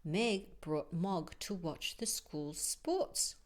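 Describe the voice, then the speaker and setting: A woman reads a children's story at a slow, easy pace. Her pitch moves around expressively, and she pauses to explain the pictures and ask the young listeners questions. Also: expressive. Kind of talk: children's story read-aloud with chatty asides.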